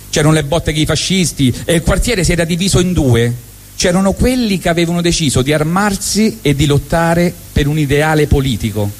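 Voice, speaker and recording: A middle-aged man with a strong Roman accent tells a comic monologue in a wry, deadpan tone, slipping into quick acted asides. His voice sits a little high for a man. The sound carries the long reverb of a hall. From Rome, Italy. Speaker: monologue performer. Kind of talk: comic storytelling monologue on stage. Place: stage at a live benefit event.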